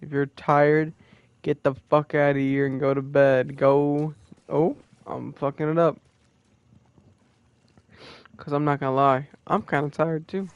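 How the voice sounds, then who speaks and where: Young male streamer, 20, gravelly smooth voice, casual and profane, dull dry mic. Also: a little high, fairly even intonation, a little slow, pauses often, no echo. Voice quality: profane, laid-back. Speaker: gaming streamer.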